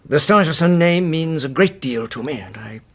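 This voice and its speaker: A man playing a stern, proud older gentleman in a radio drama, husky and formal in manner. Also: on the high side, expressive, moderate pace. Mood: stiff, apologetic. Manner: stiff, formal. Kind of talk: British radio drama acting.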